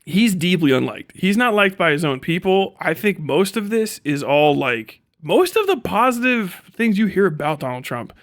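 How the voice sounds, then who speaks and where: A man talking casually and off the cuff as a livestream host, blunt, slangy and profane, slipping into acted-out bits of dialogue. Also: high-pitched. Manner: animated, acts out imagined dialogue. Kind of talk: casual unscripted livestream monologue.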